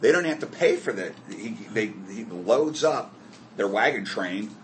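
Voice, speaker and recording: A man's voice: lowish voice, big pitch swings, a little slow, slight background noise. A man leading a group Bible study discussion, asking the group questions and correcting himself as he goes.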